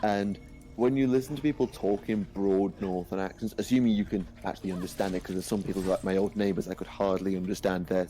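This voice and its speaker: Mellow man, soft Northern English accent. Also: sits low, fairly even intonation, fast talker, soft background music, poor audio. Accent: softened Northern English. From northern England. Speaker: YouTuber and voice actor. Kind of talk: casual chat.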